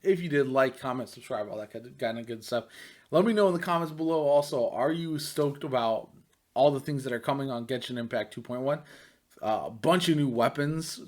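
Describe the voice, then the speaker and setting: A man's voice: mid-range voice, brisk, darkish tone. Man chatting casually about gaming. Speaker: gaming YouTuber. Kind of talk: casual off-the-cuff game commentary.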